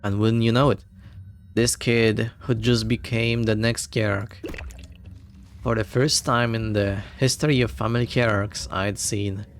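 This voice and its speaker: A man's voice: fairly low pitch, steady tone, measured pace, slight background noise, close to an everyday voice. A man reading aloud as a carefree, wandering old martial arts master who drinks, telling his story at a leisurely pace. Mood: wistful.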